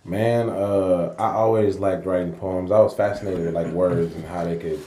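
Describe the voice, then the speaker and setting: A man from San Antonio, Texas, a rapper guest on a podcast, talking relaxed and slangy. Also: sits low, moderate pace, roomy sound, a little hiss or hum. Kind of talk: casual interview talk.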